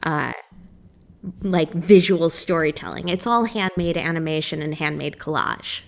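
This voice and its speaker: Slow, measured woman being interviewed. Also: sits low, gravelly. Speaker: theater director, interview guest. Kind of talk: thoughtful radio interview.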